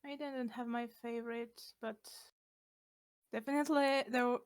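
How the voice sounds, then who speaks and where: A woman's voice: a little high, steady tone, normal speed, no room sound, clean studio sound. A young woman from Poland with a Polish accent, Polish her first language, co-hosting a casual chat and giving brief, laid-back answers. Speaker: podcast co-host.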